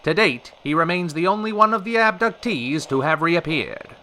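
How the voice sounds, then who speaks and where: A man's voice: fairly high pitch, lively intonation, moderate pace, bright, forceful tone, slight background noise. A man playing a showy 1930s radio show host with a theatrical announcer delivery. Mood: showy, polished. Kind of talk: 1930s radio broadcast acting.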